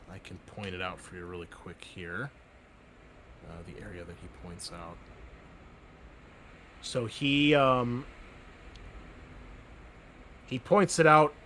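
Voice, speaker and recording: A man running a tabletop game as game master, talking in halting, stammering bursts. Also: on the low side, expressive, a bit bright, slight background noise.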